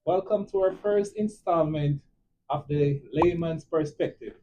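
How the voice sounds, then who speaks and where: A young man with a Jamaican accent hosting a casual podcast chat, animated and quick to cut in. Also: fairly high pitch, rough recording. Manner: animated, often cuts in. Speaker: podcast host and nurse.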